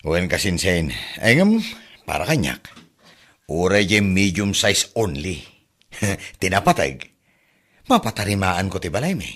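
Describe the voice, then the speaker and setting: A man's voice: sits low, very animated, measured pace, pauses often, a bit bright, exaggerated voice. A man with an Ilocano accent playing a modest cousin who hopes to fix up his house, in a comedy radio drama. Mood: wistful.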